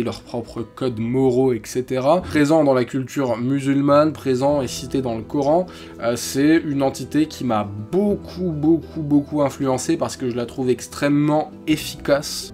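Young man ranking legends over soft music. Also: mid-range voice, moderate pace. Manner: casual, thoughtful, many fillers and restarts. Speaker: channel host. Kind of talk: ranking urban legends in a tier list. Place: indoor room, webcam framing.

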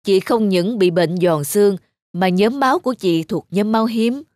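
A woman's voice: medium pitch, normal speed, darkish tone, no room sound, natural voice. A woman voicing a serious male doctor in a cartoon, frank and cautious as he speaks. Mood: grave, concerned.

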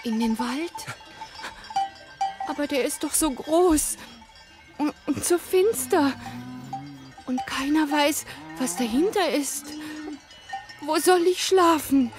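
An actress playing a gentle, innocent young princess in a fairy-tale radio play, plaintive and soft-hearted. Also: female voice, sits high, slow, long pauses, soft background music. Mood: fearful, sad. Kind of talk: German radio drama acting.

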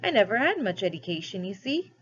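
A woman's voice: medium pitch, big pitch swings, fast, muffled recording, slight background noise, natural voice. A woman voicing a humble, honest male cabby from a storybook, hesitant and deferential, with a rustic Cockney accent. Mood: humble, flustered. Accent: Cockney softening to country. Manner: deferential, hesitant, plain-spoken.

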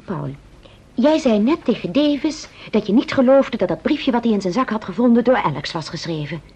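A woman's voice: a little high, animated, brisk, mellow, close to an everyday voice. A woman playing an eager, inquisitive detective's wife in a vintage radio play. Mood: curious. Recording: muffled, noisy vintage radio recording.

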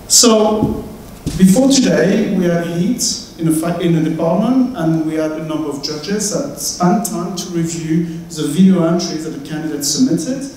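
A man's voice: a little high, darkish tone, distant mic, slight background noise. French-accented man hosting in an echoey hall. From France. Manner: chatty, welcoming, halting with fillers. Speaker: host. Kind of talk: event hosting speech. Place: large lecture hall, indoors. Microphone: handheld mic, far camera.

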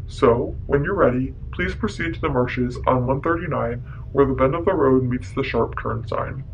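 A woman voicing an ominous, low-voiced man, a killer giving deliberate instructions in a dramatic story. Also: vocal fry, dull audio, slight background noise. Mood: ominous, cold. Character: ominous adult male killer on cassette tape.

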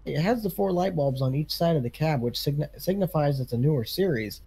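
A man's voice: on the high side, animated, a little fast, gravelly, warm tone, breathy edge, heavily acted. A man playing an easygoing farm equipment dealership salesman in casual, rambling improvised game role-play. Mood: casual, businesslike.

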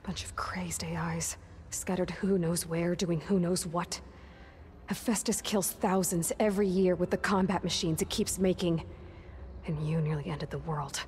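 An actress plays a young warrior woman in a video game. Her voice sits slightly low, with a determined, dryly sarcastic edge as she presses for answers. Faint ambience sits behind her. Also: fairly even intonation, normal speed, a little mellow, slight background noise, close to an everyday voice. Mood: grim, weary. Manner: dry, sarcastic, pressing questioner. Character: determined, sardonic young female warrior hero. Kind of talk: video game dramatic acting.

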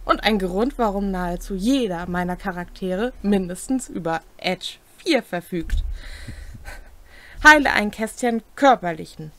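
A woman's voice: medium pitch, lively intonation, unhurried. A young adult woman co-hosting a tabletop game explainer, reading scripted rules aloud in a room at home. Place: small home room, indoors.

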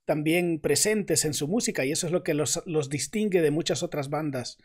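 Higher-voiced thoughtful male co-host. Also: normal speed, slightly rough. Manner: thoughtful, formal phrasing. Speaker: podcast co-host, interviewer. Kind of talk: formal interview questions.

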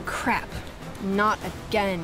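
A woman's voice: medium pitch, flat delivery, takes it slowly, close to an everyday voice. A woman playing a weary, sardonic young gamer and music producer in a motion comic, with a dry delivery. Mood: urgent.

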